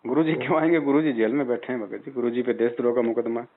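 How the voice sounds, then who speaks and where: A man's voice: mid-range voice, steady tone, fast talker. A man on a phone call, giving religious advice in an insistent, admonishing way and pressing his points with rhetorical questions. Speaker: spiritual advisor taking a caller's doubts. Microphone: phone line. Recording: tinny, band-limited phone call recording.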